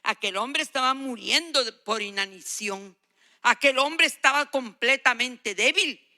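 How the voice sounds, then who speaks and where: Older lady preacher giving a sermon, really bright intense voice, emphatic, says stuff twice to drive it home. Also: sits high, lively intonation, unhurried. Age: 60s or older. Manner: emphatic, exhorting, repeats phrases for emphasis. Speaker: guest preacher.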